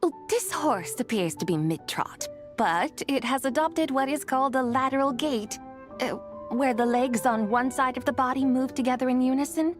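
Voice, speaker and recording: A woman's voice: high voice, normal speed, close to an everyday voice. A woman playing a whimsical, theatrical young woman in a video game, with a grand and playful manner. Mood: amused, musing. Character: whimsical, theatrical young woman posing as legendary horse adeptus. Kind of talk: video game character acting. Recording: game music behind the voice.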